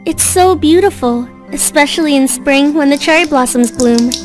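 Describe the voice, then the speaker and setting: A woman's voice: high voice, moderate pace, close to an everyday voice. An actress voicing a shy, sweet, polite teenage schoolgirl in an anime-style game. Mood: gentle. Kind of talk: visual novel voice acting. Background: game music.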